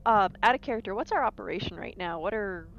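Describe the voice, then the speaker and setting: A woman's voice: medium pitch, animated, fast. A woman playing in a tabletop role-playing game, talking casually on a lo-fi mic with background noise.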